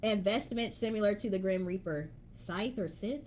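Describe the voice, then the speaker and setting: A woman's voice: mid-range voice, normal speed, darkish tone, slight background noise. A woman giving a casual tarot reading to her viewers, chatty and emphatic, reading card messages aloud. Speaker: tarot reader.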